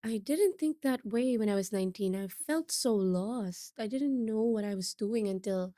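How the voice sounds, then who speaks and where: A woman's voice: medium pitch, moderate pace, dark tone, dry recording, clean studio sound. A Filipino woman with a Filipino accent hosting a podcast interview, effusive and chatty, slipping Tagalog words into her English while she is losing her voice. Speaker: podcast host. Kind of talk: casual interview chat.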